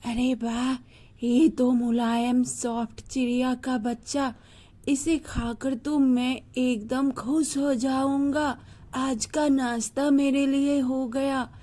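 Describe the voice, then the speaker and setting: A woman's voice: high-pitched, level tone, takes it slowly, mellow. A woman voicing a male uncle snake in a children's story, a hungry predator with a kindly, protective side and an avuncular manner. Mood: gleeful, hungry.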